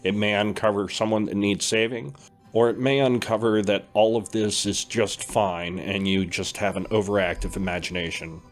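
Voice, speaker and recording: A man playing a calm, steady older brother who teaches about dreams, with faint music behind. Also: fairly low pitch, moderate pace, close to an everyday voice. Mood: warm. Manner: reassuring, steady. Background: faint background music.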